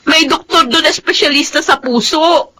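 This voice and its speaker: A woman's voice: high voice, normal speed, sharp tone. An actress playing an indignant, distressed young mother in a radio drama, emotional and defiant.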